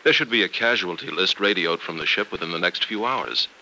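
An actor playing a concerned, steady male friend bringing news in an old-time radio soap opera, on a noisy vintage recording. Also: sits low, fairly quick, exaggerated voice. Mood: earnest, reassuring.

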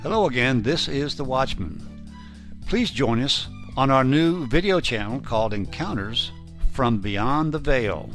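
A man's voice: mid-range voice, animated, unhurried, a bit bright. Man, mid-range podcast announcer. Scripted promotional read over guitar music. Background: plucked guitar music.

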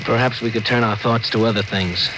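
A man's voice: on the low side, fairly even intonation, brisk, close to an everyday voice. Man acting an earnest, deferential spaceship officer. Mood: sad, careful. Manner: respectful, sincere, careful. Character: earnest, sympathetic junior communications officer, deferential. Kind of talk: classic radio drama acting. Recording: noisy old radio drama recording.

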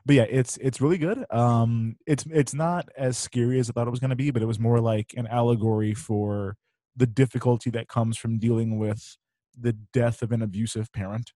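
A man in his late thirties co-hosting a podcast, relaxed and laid-back, swearing casually as he chats. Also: medium pitch, moderate pace, no room sound. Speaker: podcast co-host.

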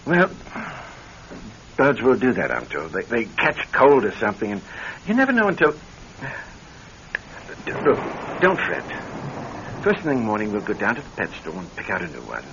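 Considerate husband, gravelly, soothing, halting, old radio drama, noisy recording. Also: male voice, lowish voice, wildly swooping pitch, dull audio, exaggerated voice. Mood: sympathetic. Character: considerate, slightly jealous husband, gently reassuring.

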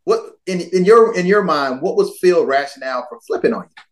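A man's voice: high-pitched, expressive, a little fast. A man co-hosting a podcast, talking casually and musing about the topic before asking a question. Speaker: podcast co-host. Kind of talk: casual chat.

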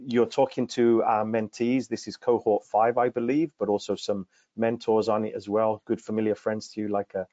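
A man hosting a video-call interview, introducing his guest in a friendly, halting way with lots of fillers. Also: on the low side, little pitch movement, warm tone. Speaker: podcast host, coaching director. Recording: dry, dull call audio.

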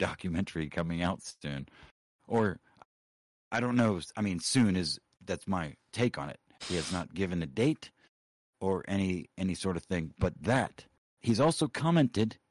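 A man with a low voice talks as a livestream co-host, close and dry. His talk is loose, brash banter, thick with profanity. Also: lively intonation, a little slow, no room sound. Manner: brash, heavy swearing.

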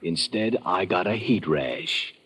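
Bored, sardonic ticket clerk. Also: male voice, sits low, animated, takes it slowly, a bit bright, breathy edge, exaggerated voice. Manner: sleepy, sarcastic, hesitant mumbles. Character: tall skinny bored, sardonic male airline ticket agent. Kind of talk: old-time radio drama acting. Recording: muffled old radio recording.

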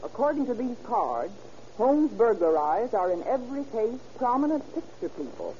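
An American actress playing a brisk, confident police records clerk in an old-time radio drama, explaining things with assurance. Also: female voice, on the high side, very animated, mellow, lo-fi sound, slight background noise.

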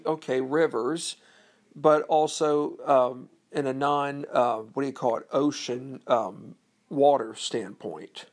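A man giving an unscripted history talk, hesitant and full of ums and uhs, asking his listeners questions. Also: mid-range voice. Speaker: history presenter.

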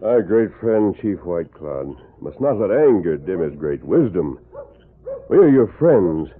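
A man's voice: low voice, very animated, measured pace, narrow, tinny audio. An actor playing a heroic, level-headed western lawman, firm and formal in an old-time radio drama. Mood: earnest, firm. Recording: noisy, band-limited vintage radio recording.

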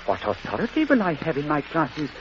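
A sweetly awkward British schoolmaster in vintage radio drama. His high voice swings up and down as he stammers and hesitates. The recording is dull and old, with music behind. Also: wildly swooping pitch, a little fast. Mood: gentle, wistful. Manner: hesitant, stammering, formal and polite.

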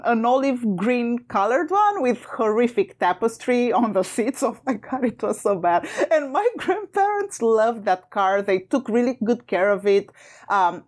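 A woman from Romania co-hosting a podcast in a casual chat, laughing often and adding wry asides. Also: fairly high pitch. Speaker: podcast co-host.

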